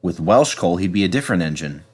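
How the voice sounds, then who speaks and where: Working railway fireman, played by a male narrator: practical, respectful, plain-spoken, slightly low storybook character. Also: animated, quick pace, a little mellow, slightly rough. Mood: apologetic.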